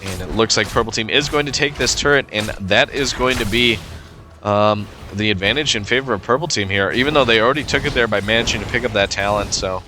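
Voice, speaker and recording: A man with a cold, sounding stuffed up, giving relaxed play-by-play commentary over a video game match with frequent fillers. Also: lowish voice, fairly quick, music in the background. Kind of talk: casual gameplay commentary.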